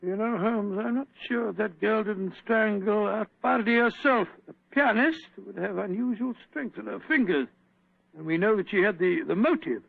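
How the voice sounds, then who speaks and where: A bluff, blustery doctor sidekick. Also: male voice, high-pitched, moderate pace, gravelly. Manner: blustery, stammering. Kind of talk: 1940s radio acting. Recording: tinny old radio broadcast.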